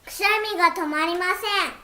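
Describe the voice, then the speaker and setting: A woman reading short Japanese phrases one at a time as prompts for an English drill, in a clear, even delivery. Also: very high-pitched, racing, roomy sound.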